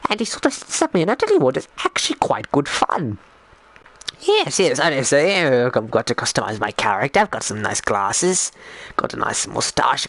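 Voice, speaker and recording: A man voicing a genteel, bumbling 1920s English gentleman, rambling in old-fashioned posh phrases on a live stream, hesitant and repeating himself. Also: mid-range voice, dry recording. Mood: genial, rambling. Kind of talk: in-character live stream chat while gaming.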